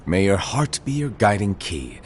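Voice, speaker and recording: Slow, swooping eccentric master. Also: male voice, lowish voice, sing-song, slight background noise. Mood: solemn, encouraging. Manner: slow, deliberate, theatrical. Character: eccentric wise mentor master, absent-minded yet solemn. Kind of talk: cinematic game cutscene acting. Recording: music behind the voice.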